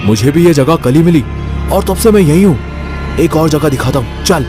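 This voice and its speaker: A man's voice: fairly high pitch, lively intonation, normal speed, over music, voice pushed up, close to an everyday voice. A man voicing a sly demon that poses as a friendly young man in a horror story, coaxing and pushy.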